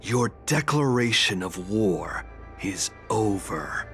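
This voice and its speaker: An actor voicing a stern soldier who speaks with measured contempt. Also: male voice, lowish voice, animated, gravelly, fairly bright tone, soft background music, full character voice.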